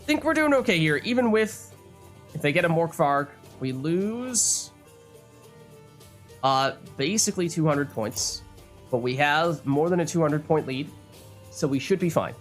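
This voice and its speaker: A man's voice: unhurried, long pauses, soft background music. A man talking through a card game run in a let's play, his voice still a little strained as it recovers. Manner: analytical, lively swings in pitch. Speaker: gaming YouTuber.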